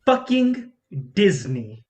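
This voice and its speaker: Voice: medium pitch, very animated, slow, darkish tone. An actor in a staged play reading playing an exasperated, embittered film campaign strategist, profane and sarcastic in manner. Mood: bitter, agitated.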